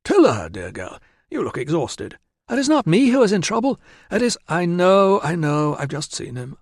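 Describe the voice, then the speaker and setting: A man voicing a cheery, fussy Roman doctor who talks in a brisk, reassuring way and calls people "old chap". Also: sits high, very animated, no room sound, clean studio sound, pitched above the performer's own voice. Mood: concerned. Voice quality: brisk, reassuring, says 'old chap'. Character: cheery middle-aged male Roman doctor, kindly, fussy.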